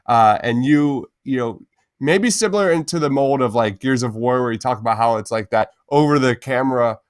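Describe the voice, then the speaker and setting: Male podcast co-host, eager and informal, average pitch, dry close sound. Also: dry recording. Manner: enthusiastic, uses "man" often. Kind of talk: casual podcast chat.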